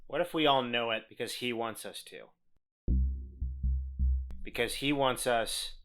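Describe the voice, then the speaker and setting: A man playing a stubborn, scheming weasel who is protective of children, talking haltingly and earnestly in a tabletop game. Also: a bit bright, faint music behind. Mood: defiant, earnest. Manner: halting, earnest, low-key.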